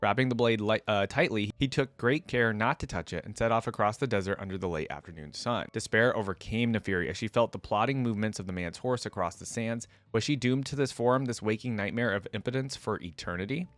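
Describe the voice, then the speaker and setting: Male reaction host, lowish pitch, very dry sound, casual commentary and read-aloud delivery. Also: fairly quick, few pauses, a bit bright, no room sound.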